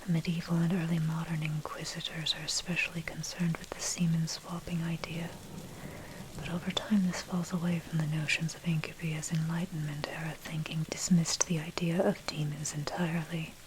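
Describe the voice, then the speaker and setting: Whispering woman narrator over steady noise. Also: sits low. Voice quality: breathy, unvoiced whisper. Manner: measured, deliberate scripted delivery. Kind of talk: whispered ASMR reading of a dark history essay. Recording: noisy track with rain and thunder. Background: rain and thunder ambience.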